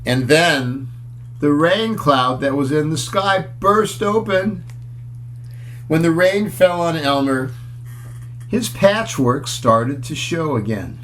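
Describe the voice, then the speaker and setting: A man's voice: fairly high pitch, lively intonation, a little hiss or hum. A man reading a children's picture book aloud in a storytelling style, with chatty asides to his listeners. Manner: chatty, pauses to comment on pictures and question listeners.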